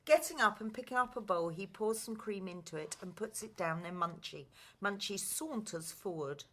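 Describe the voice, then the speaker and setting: A woman's voice: mid-range voice, moderate pace, a bit bright, slight background noise. A woman reading scripted narration and stage directions for a play.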